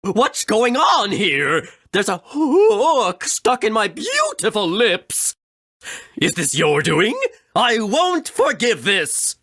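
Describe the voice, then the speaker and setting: A man's voice: sits high, wildly swooping pitch, takes it slowly, a bit bright, dry recording, studio quality, heavily acted. A man voicing a vain, effeminate male fish monster in a video game, theatrical and coquettish in manner. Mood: vain, affronted. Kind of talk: video game character acting.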